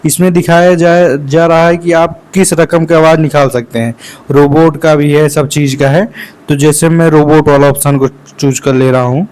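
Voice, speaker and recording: A man with a fairly high voice talks as the host of a tech tutorial. He explains each step casually and in an instructional way, often repeating phrases. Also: fairly even intonation, normal speed, darkish tone, clear, resonant tone.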